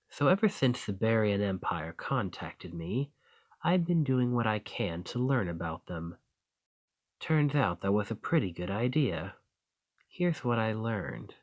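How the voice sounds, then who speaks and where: Bandit leader, male actor, audio roleplay: confident rogue, fairly deep voice, very unhurried, folksy and teasing. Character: roguish male bandit leader, confident, wry, genial schemer. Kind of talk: scripted roleplay acting addressed to the listener.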